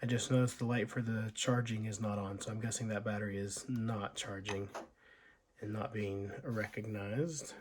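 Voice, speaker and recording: A man with a hoarse voice from being sick, casually thinking aloud with many pauses and fillers as he walks through an old laptop's setup and specs. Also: lowish voice, steady tone, a little hiss or hum. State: hoarse from illness. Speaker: tech video host. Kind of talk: casual unboxing walkthrough.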